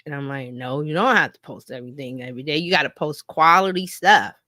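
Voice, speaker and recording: Female coach for an informal live-stream lesson: bright, forward voice, animated and chatty, acts out examples, very dry sound. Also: low-pitched, fast, no room sound. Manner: conversational, animated, acts out imagined dialogue, checks in with listeners. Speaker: marketing coach presenting.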